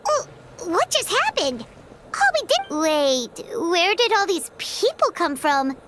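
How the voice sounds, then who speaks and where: A woman voicing a tiny, childlike fairy companion in a video game, squeaky, perky, curious and chatty. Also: sing-song, takes it slowly, noisy background, exaggerated voice. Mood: curious, surprised. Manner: perky, speaks of herself in third person. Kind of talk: English video game voice acting. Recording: loud background music under voice.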